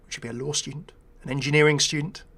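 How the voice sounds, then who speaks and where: A man's voice: a little high, fairly even intonation, quick pace, sharp tone. Chatty, rambling man doing game commentary. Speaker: gaming video host.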